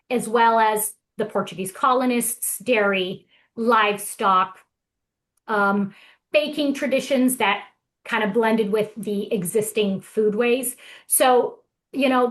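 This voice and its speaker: A woman from Brazil gives a relaxed presentation, chatting along with clear enthusiasm. Her voice sits at an ordinary middle pitch. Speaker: guest presenter. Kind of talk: casual presentation.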